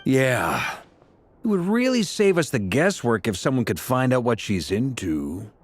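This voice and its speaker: A man's voice: mid-range voice, big pitch swings, measured pace, a little hiss or hum. An actor playing a jovial, upbeat man in a video game. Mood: cheerful, breezy. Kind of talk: video game character acting.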